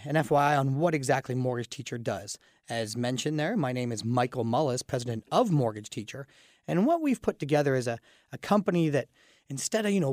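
A man with a raspy voice from a cold hosts a casual radio talk show, chatting with fillers and restarts. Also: a little high, a little mellow, no echo, studio quality. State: fighting a cold, groggy. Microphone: studio microphone.

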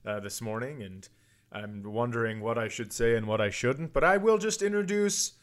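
Male podcast host, medium pitch, casual comic storyteller, self-mocking and foul-mouthed. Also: moderate pace. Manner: self-deprecating, profane. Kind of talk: casual comedic banter and storytelling.

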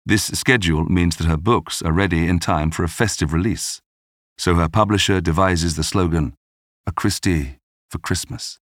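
A man narrating a scripted documentary podcast in a measured, polished storytelling manner. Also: low voice, dry recording, studio quality.

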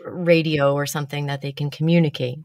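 A woman with a somewhat low voice tells stories in a loose, conversational way. She mocks herself bluntly and swears now and then. The sound is close and dry, with no room around it. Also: level tone, brisk, darkish tone, no room sound. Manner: candid, swears, self-mocking humor. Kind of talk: casual storytelling chat.

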